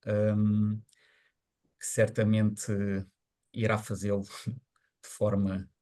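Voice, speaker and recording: A man with a fairly low voice hosts an online event. He speaks haltingly, restarts often and fills gaps with "eh". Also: monotone, warm tone. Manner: halting, many restarts and fillers, chuckles. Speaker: event host. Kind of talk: hosting a webinar.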